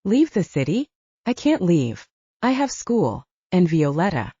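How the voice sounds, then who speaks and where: A proud young man of a supernatural enforcer, read by a woman in an audiobook. He is curt and defiant toward his elders. The tone is soft-edged and mellow, in a dry, slightly dull recording. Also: lowish voice, very animated, a little slow, slightly rough, no room sound, heavily acted. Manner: curt, stern. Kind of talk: audiobook character dialogue.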